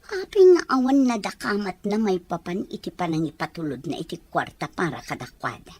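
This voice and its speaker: A woman's voice: on the high side, fairly bright tone, husky, heavily acted. A woman acting a harsh, bossy aunt in a drama, scolding and commanding. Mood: bitter, resentful. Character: harsh, bossy aunt resentful of orphaned relatives. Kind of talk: Ilocano radio drama acting.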